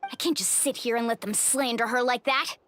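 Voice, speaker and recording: A woman playing an upbeat, loyal young warrior woman in a video game, earnest and expressive. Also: fairly high pitch, brisk, a little hiss or hum, natural voice. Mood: indignant. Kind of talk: English video game voice acting.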